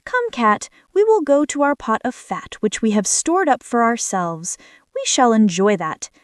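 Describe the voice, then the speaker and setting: A woman's voice: fairly high pitch, sing-song, unhurried, dry recording, studio quality. A woman voicing a small, good-natured female mouse in a children's fairy tale, with an earnest, exclamatory manner. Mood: friendly.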